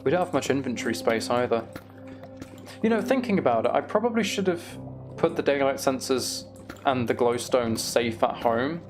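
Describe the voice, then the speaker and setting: A man's voice: mid-range voice, animated, moderate pace, over music. A man giving casual, self-deprecating gameplay commentary, musing aloud as he goes. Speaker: gaming video host.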